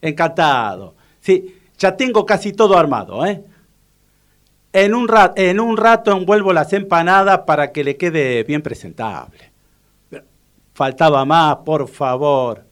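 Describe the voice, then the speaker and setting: A man's voice: a little high, animated, measured pace. An actor playing a proud, fussy older neighborhood man who cooks, with an Argentine Rioplatense accent and a hesitant, repetitive, self-important manner, in a comic radio drama. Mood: obliging.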